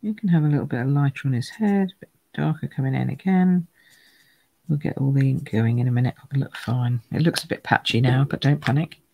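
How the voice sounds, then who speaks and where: Chatty woman, croaky gravelly voice. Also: very deep voice, brisk, warm tone, poor audio. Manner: chatty, thinks aloud, greets viewers by name. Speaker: card-making demonstrator. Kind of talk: live craft demonstration. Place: home craft room.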